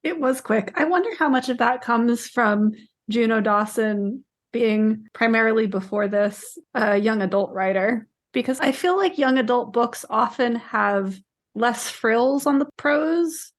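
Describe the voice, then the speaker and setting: A woman co-hosting a book podcast, talking casually in a measured, explanatory way. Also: a little high. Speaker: podcast co-host discussing books.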